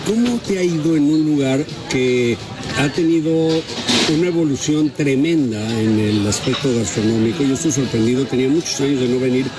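A man's voice: medium pitch, moderate pace, heavy background noise. Mexican man hosting a conversational interview. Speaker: radio host interviewing a guest.

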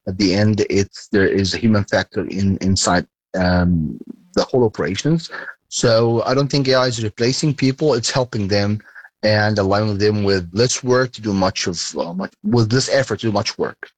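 A man giving interview answers in a halting way, with frequent pauses and restarts. His voice is fairly low, and the recording is dry and close. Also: dry recording, poor audio. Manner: halting, many hesitations and restarts. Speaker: sports data company chairman, interview guest.

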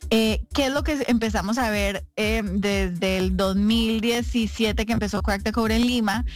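A woman raised in Costa Rica, speaking as an interview guest in a measured way, with many fillers. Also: a little high. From Colombia, raised in Costa Rica. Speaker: guest, company CEO. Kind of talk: radio interview. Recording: music playing faintly underneath.

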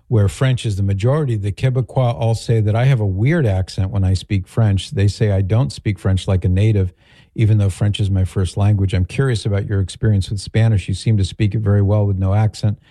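A man's voice: lowish voice, mellow, dry recording. A man hosting a radio talk show, chatting casually and reading listener emails with easy laughs. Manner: chatty, jokey, laughs easily. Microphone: studio mic.

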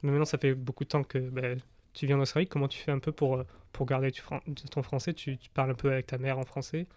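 A man's voice: medium pitch, flat delivery, fast, a little mellow, no echo. A man hosting a casual, conversational radio interview in a studio.

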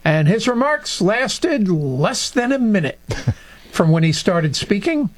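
High-voiced man telling sarcastic stories. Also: very animated, takes it slowly. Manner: storytelling, sarcastic asides. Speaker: radio show co-host. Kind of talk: casual political radio talk.

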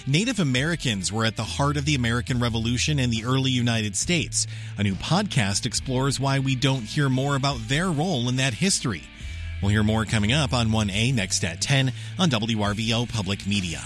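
A man's voice: medium pitch, a little fast. Casting: local public radio announcer, man, mid voice, faint guitar bed. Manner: steady, informal read.

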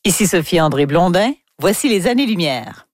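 A woman's voice: lowish voice, expressive, a little fast, over loud music. A woman with a Québécois French accent hosting a radio science magazine in a studio, chatty and playful as she introduces segments. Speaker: radio science show host. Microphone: studio microphone.

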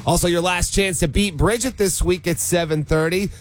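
A man's voice: high-pitched, steady tone, moderate pace, faint music behind. A man hosting a morning radio show in casual chat, easygoing and chatty, sounding a little nasal and congested from a cold, with a slightly sore throat. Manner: easygoing, chatty, laughs often. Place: radio studio.

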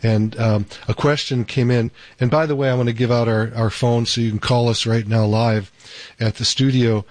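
A man's voice: mid-range voice, steady tone, a little mellow, no echo. Man, call-in show host, upbeat, dry close studio sound. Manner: upbeat, promotional, slows to repeat details. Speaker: radio show host and doctor. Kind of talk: live call-in radio talk. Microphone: studio microphone.

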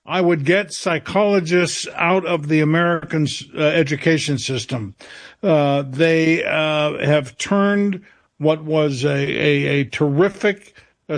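A man answering as a radio interview guest, halting and often searching for his words. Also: on the high side.

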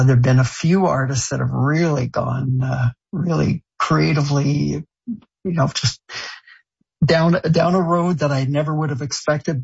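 A man answering interview questions about songs he wrote, talking haltingly with many restarts and fillers. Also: medium pitch, gravelly, a little mellow, breathy edge, lo-fi sound. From Canada, raised in France. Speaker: show creator and songwriter.

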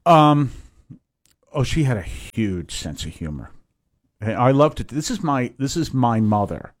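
A man in his fifties from Massachusetts, a priest, giving a rambling conversational interview with many pauses and restarts. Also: medium pitch, expressive, no room sound, studio quality.

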